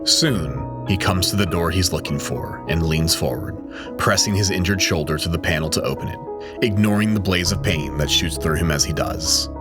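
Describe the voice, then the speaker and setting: A man giving solemn, measured dramatic narration of a scripted story. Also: low-pitched. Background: loud music.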